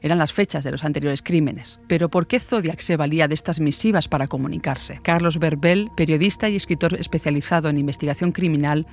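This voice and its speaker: A man's voice: on the high side, brisk, vocal fry, few pauses, faint music behind. A man from narrating scripted true crime as a dramatic storyteller with rhetorical asides.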